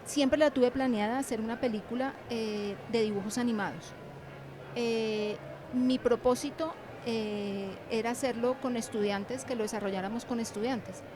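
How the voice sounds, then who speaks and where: Woman, slightly high pitch, halting, reflective, unscripted interview answers, noisy ambient background. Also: steady tone. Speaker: interviewed author and animation teacher.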